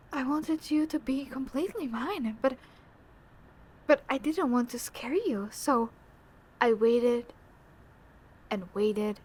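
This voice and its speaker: A woman playing a possessive, obsessive young woman in love in an intimate roleplay audio, slipping between breathy half-whispers and intense swells. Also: a little high. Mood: yearning, intense. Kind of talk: intimate roleplay audio acting, spoken to the listener.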